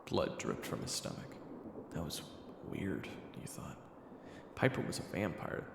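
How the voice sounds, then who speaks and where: Very deep, creaky male narrator. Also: big pitch swings, measured pace, whispered. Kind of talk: scripted second-person story narration. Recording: reverberant, echoey, music underneath. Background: background music.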